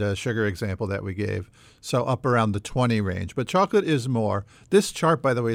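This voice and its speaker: A man's voice: mid-range voice, no echo. A man hosting a conversational quiz segment on a podcast. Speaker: podcast co-host running a quiz.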